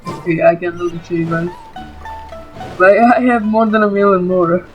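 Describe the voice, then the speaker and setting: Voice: mid-range voice, moderate pace, low-quality recording. Let's-play commentator, casual and chatty, mid-range voice, informal filler-heavy phrasing, faint game music. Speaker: gaming YouTuber.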